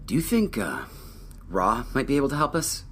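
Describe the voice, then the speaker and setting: An actor playing a weary, self-doubting starship captain in an audio drama, with a hesitant, subdued, thoughtful delivery. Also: male voice, medium pitch, very animated, normal speed, a bit bright, a little hiss or hum. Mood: urgent, pleading. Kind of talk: audio drama acting, naturalistic dialogue.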